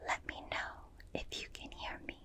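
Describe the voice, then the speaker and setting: A woman voicing a gentle, curious alien examiner in an ASMR roleplay, speaking in formal, clinical phrases in a breathy whisper, close to a binaural microphone. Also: close to an everyday voice. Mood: curious, soothing. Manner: slow, clinical, fascinated, formal stilted phrasing.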